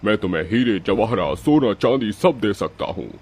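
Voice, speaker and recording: A man's voice: lowish voice, lively intonation, normal speed, a little mellow. A man voicing a generous, boastful magical tree and talking machine in a cartoon moral story, grand and showy. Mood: proud, boastful. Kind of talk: animated moral story dialogue. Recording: echoey hall reverb, music behind.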